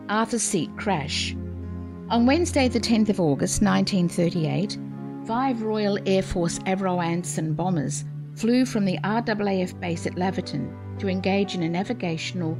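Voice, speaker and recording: A woman giving scripted historical narration in a measured, formal manner. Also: mid-range voice, lively intonation. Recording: music playing behind.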